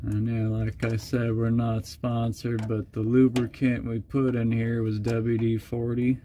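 A man's voice: medium pitch, measured pace. Male co-host, easygoing, jokes through a repair, recorded beside the work. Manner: relaxed, joking, talks while wrenching. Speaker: channel co-host doing a repair. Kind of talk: casual chat while working. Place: under a van, outdoors. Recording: noisy work sounds and clicks.

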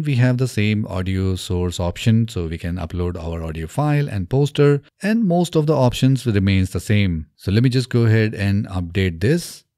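A man presents a software walkthrough step by step in a mellow, low voice. His intonation rises and falls freely. He speaks close to the microphone in a small indoor studio. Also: no echo. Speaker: tutorial presenter. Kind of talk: step-by-step software tutorial narration. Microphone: close desk microphone on an arm.

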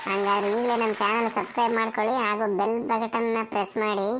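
A woman's voice: a little high, level tone, quick pace, a bit bright, a little hiss or hum, low-quality recording. A woman doing scripted comic narration and channel promos for short funny videos.

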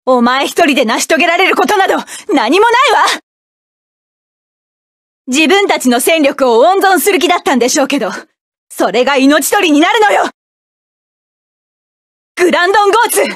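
A woman voicing a fierce, commanding young mech pilot in a game, with forceful battle shouts and clipped commands. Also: high voice, dry recording, studio quality. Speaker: voice actress. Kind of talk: game voice clips.

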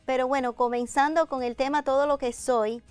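A middle-aged woman with a high-pitched voice hosts an interview in a conversational style. The studio sound is very dry and close, with faint music behind her. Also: steady tone, a little fast, a little mellow, smooth, no echo. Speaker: programme host. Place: indoor TV studio set with armchairs.

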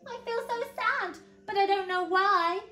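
A woman voicing a small, excitable little boy in a storybook, with a squeaky delivery. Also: takes it slowly, exaggerated voice. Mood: sad. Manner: high, stammering when scared, squeaky. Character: small shy little boy, lonely then giggly. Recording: roomy indoor echo.

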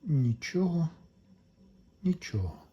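A man giving casual hands-on repair commentary, talking to viewers in an unhurried way as he works. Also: a little high, sing-song, mellow, dull audio. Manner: unhurried, talks along while working, addresses viewers. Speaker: electronics repair video maker.

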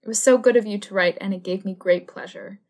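A woman's voice: mid-range voice, fast talker, a little mellow, lightly acted. An actress playing an affectionate seventeen-year-old princess, reading her letters aloud politely and fondly in an audio drama.

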